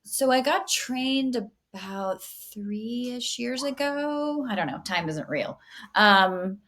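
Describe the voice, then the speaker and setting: A woman's voice: a little high, lively intonation, slow pace, a bit bright. A woman hosting a casual podcast as a therapist, talking enthusiastically and conversationally. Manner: enthusiastic, conversational, laughs easily.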